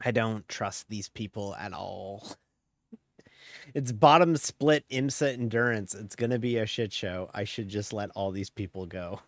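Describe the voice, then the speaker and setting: Low, dry-voiced man chatting while racing. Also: measured pace, dry recording. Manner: chatty, rambling, chuckles often, self-mocking. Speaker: sim racing streamer. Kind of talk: casual live-stream commentary while racing.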